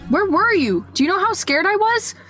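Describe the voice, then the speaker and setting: A woman's voice: extremely high pitch, sing-song, moderate pace, sharp tone, faint music behind, exaggerated voice. A young woman playing a bossy, worried older sister in an amateur radio play. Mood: upset. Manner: scolding, exasperated.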